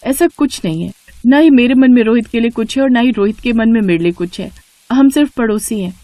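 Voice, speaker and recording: A woman voicing a high, guarded young daughter-in-law. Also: animated, fairly quick, warm tone, no room sound, lightly acted. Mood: defensive. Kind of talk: Hindi family storybook dialogue.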